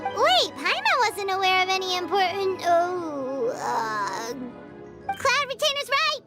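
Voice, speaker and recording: A tiny, cheeky fairy companion chirps in a very high, bouncy voice that leaps around, drawing words out slowly. Loud, tinkly game music plays right under her. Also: very animated, full character voice. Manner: chirpy, cheeky, refers to herself in third person. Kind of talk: video game voice acting.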